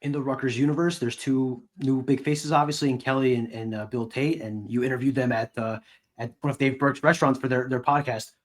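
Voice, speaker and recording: A man's voice: mid-range voice, steady tone, fairly quick. A man interviewing a guest as host of a casual sports podcast.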